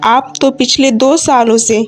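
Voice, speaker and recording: A woman's voice: sits high, steady tone, unhurried. A woman voicing a sceptical village wife who chides her husband in a moral story cartoon. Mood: dismissive, chiding. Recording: music under the voice.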